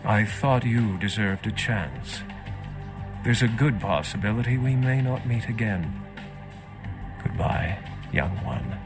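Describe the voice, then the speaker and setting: An actor playing a cautious, learned vampire scholar in a video game, speaking in an earnest, measured, erudite way. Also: male voice, lowish voice, animated, vocal fry, over music, heavily acted. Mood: somber.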